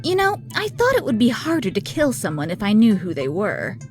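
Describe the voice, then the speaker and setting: A woman's voice: mid-range voice, huge pitch swings, a little fast, faint music behind. A woman playing a sardonic, theatrical and exasperated villain rival in a visual novel game. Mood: weary, threatening. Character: Kiki, sardonic, dramatic, gun-toting female villain rival.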